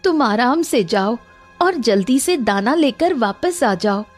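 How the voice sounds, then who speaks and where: A woman voicing a gentle, earnest queen in a cartoon fairy tale, with an apologetic, pleading manner. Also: fairly high pitch, expressive, measured pace, soft background music, voice pushed up. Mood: gentle, reassuring.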